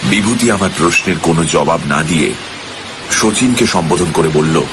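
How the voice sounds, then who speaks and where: A man's voice: low-pitched, lively intonation, normal speed, background noise. A man narrating a ghost story in the first person, in a suspenseful, theatrical manner. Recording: loud music under the voice. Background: loud music.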